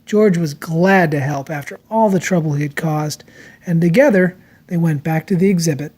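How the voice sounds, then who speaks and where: A storyteller reads a picture book aloud for children. The voice sits at a middle pitch, and the delivery is expressive and theatrical, made for storytime. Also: normal speed, husky. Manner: expressive, acts out character lines.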